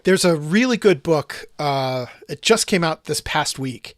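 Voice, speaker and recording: A man's voice: on the high side, lively intonation, measured pace, no room sound, clean studio sound. A man hosting a casual discussion podcast, thinking aloud in long, rambling sentences full of restarts and asides. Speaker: podcast host.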